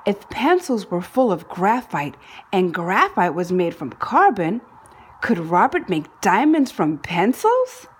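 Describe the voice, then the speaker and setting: A woman reads a children's story aloud at an unhurried pace. Her pitch moves with plenty of animation, and her voice has a husky, slightly rough edge. She phrases deliberately, giving key words dramatic weight. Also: very animated. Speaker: storybook reader. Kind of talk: expressive children's read-aloud narration.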